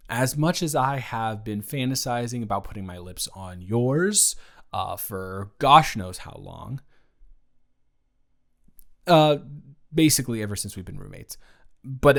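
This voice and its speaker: A young man playing an awkward, playful, smitten roommate in an improvised romantic audio roleplay, talking in a halting, rambling way with emphatic bursts. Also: medium pitch, creaky, fairly bright tone. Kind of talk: improvised romantic audio roleplay, intimate one-sided conversation.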